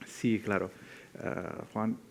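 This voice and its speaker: A man from Italy with an Italian accent, speaking a second language as a panelist, explaining things off the cuff and often pausing to search for words. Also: on the low side, flat delivery, creaky. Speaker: tech company representative on a panel.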